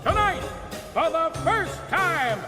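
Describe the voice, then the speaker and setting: An actor in a radio play voicing a grand, slyly menacing circus ringmaster with showy, drawn-out announcing. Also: male voice, very high-pitched, expressive, exaggerated voice. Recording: long echoing hall, loud music.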